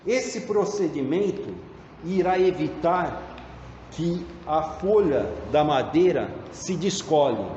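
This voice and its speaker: A man with a Brazilian accent giving a hands-on tutorial in a deliberate, step-by-step explanatory way. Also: a little high, animated, dark tone. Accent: Brazilian Portuguese. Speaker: tradesman demonstrating door painting. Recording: dull, echoey, reverberant room with background noise.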